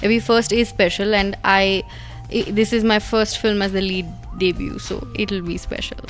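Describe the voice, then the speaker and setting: A woman, a debut lead actress, answering interview questions carefully and pausing often. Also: medium pitch, level tone, faint music behind.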